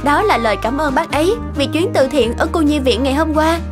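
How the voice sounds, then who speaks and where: A woman voicing a bright, friendly young girl in a cartoon. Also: high-pitched, fairly quick, close to an everyday voice. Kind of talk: animated cartoon dialogue. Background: clear children's music.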